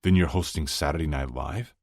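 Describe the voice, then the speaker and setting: Man as a wry, deep-voiced boyfriend. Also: fairly quick, vocal fry, darkish tone, dry recording, studio quality, full character voice. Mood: wry, tender. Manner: drawling, teasing. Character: wry, teasing boyfriend of a sports star.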